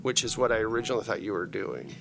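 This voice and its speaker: A man's voice: lowish voice, fast talker, gravelly, a bit bright, a little hiss or hum. A man narrating a tabletop game as game master in a casual, conversational way.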